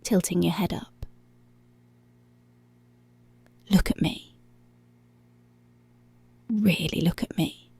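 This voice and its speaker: A woman acts out a dominant policewoman in an ASMR roleplay. Her voice is breathy and soft-edged with a crackle of fry, often slipping into whispers. She speaks slowly and teasingly, very close and dry on the microphone, always in control. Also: mid-range voice, no echo, heavily acted. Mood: intense, seductive. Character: dominant, commanding, seductive policewoman.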